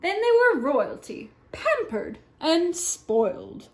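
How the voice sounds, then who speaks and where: A woman's voice: extremely high pitch, sing-song, slow pace. A woman reading a picture book aloud at story time, expressively, with little asides to a small child.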